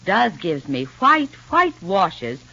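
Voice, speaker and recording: A woman's voice: medium pitch, big pitch swings, slow pace, vocal fry, pushed and bright. A woman giving a scripted testimonial in a 1950s radio advertisement. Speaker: soap commercial testimonial speaker. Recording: dull vintage radio recording.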